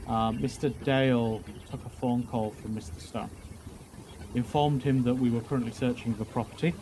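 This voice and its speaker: A man's voice: mid-range voice, steady tone. Guy testifying as a cop, keeps repeating words and saying um, lots of noise on the voice chat. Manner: halting, repeats words, many fillers. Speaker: police officer witness. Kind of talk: roleplay courtroom testimony.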